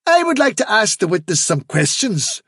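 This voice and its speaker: A man voicing a wily storybook rabbit chief who sounds fatherly, reasonable and gently condescending. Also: high-pitched, big pitch swings, normal speed, husky, no echo, studio quality, pitched above the performer's own voice, exaggerated voice. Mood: polite, puzzled. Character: wily male rabbit chief, fatherly, patient, mock-kindly on trial. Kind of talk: storybook character reading.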